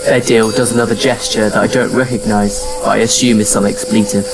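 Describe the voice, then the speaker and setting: A man's voice: on the low side, flat delivery, fairly quick, lightly acted. An actor playing a wry, patient adventurer who narrates his own story in an audio drama. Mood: wry narration. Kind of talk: scripted first-person audio drama narration and dialogue. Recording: music under the voice. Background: music.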